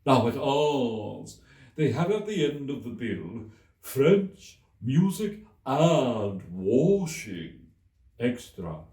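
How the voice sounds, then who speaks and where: Sorrowful, easily offended old storybook turtle, higher-pitched, very drawn out, in an echoey room. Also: male voice, sing-song, dark tone, heavily acted. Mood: earnest, anxious. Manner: slow, drawn-out, sorrowful delivery. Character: mournful, touchy old male turtle, sighing over schooldays. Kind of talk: children's storybook reading. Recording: echoey room, distant microphone.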